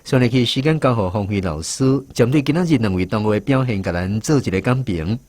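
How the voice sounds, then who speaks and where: A man's voice: lowish voice, expressive, a little fast, darkish tone, no echo. Dry, close-sounding Taiwanese male presenter. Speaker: host of a language quiz segment. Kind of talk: radio presenting, introducing speakers.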